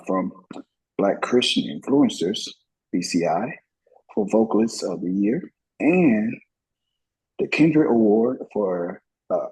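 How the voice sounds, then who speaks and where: A man from Florida with an American accent and a husky voice, hosting a conversational interview in a halting way. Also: on the low side, a little mellow, poor audio. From Florida, United States. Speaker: music show host.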